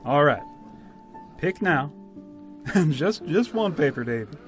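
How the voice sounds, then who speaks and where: A man playing a brisk village official in a radio drama, with folksy, matter-of-fact authority. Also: a little high, very animated, music in the background. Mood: coaxing. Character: brisk village official calmly running a grim ritual.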